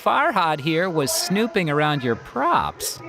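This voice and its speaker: A woman's voice: low voice, big pitch swings, takes it slowly, over music, full character voice. A woman voicing a playful, teasing young theater performer in a video game.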